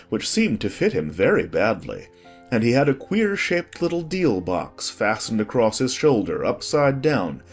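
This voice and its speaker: A man with a husky voice reading a scripted story as an expressive audiobook narrator. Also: mid-range voice. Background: faint music.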